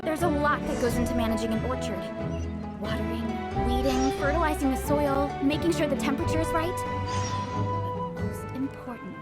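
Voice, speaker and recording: A woman voicing a gentle, earnest young orchard keeper in a video game, explaining things in an unhurried way. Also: high-pitched, big pitch swings. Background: music.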